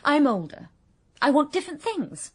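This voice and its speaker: An English actress playing a frustrated wife who speaks bluntly and with exasperation in a radio drama. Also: female voice, high-pitched, very animated, moderate pace. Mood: blunt, upset. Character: frustrated wife leaving her husband.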